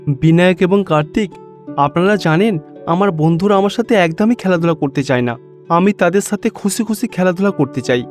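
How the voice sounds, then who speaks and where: A man's voice: high voice, normal speed, darkish tone, faint music behind, voice pushed up, lightly acted. A man voicing a pious, lonely young schoolboy, earnest and pleading, in a cartoon story.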